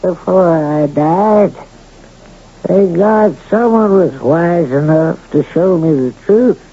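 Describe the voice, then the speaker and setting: An actor playing an earnest, wronged Western rancher in an old-time radio drama. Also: male voice, on the high side, takes it slowly, breathy edge, exaggerated voice. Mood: fervent. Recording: tinny, band-limited old radio recording.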